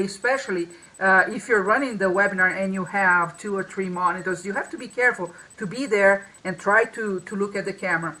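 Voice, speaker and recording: A woman's voice: mid-range voice, bright, forceful tone, poor audio. A woman co-hosting a marketing talk over a video call, with a strong voice and a loose, restarting way of speaking. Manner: many restarts and fillers. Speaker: co-host. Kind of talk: casual webinar talk.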